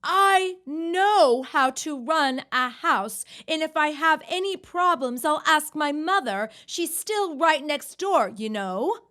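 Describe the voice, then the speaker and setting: An actress as a proud young wife who stands her ground in an audio drama. Her voice is high and she speaks slowly, placing each word with earnest emphasis. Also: animated, pushed and bright, exaggerated voice. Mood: defiant.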